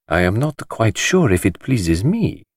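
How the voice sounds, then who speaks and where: A man voicing a grave, courteous, shrewd middle-aged detective, speaking slowly and precisely in an audiobook. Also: on the low side, sing-song, no room sound, studio quality, pitched above the performer's own voice. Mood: measured, reserved. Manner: slow, precise, measured and formal. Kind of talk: dramatised audiobook dialogue.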